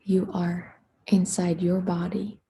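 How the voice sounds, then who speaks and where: A woman leading a guided meditation in a hushed, soothing, unhurried manner, with long pauses between phrases and a soft, breathy voice. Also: on the low side, flat delivery, a little hiss or hum.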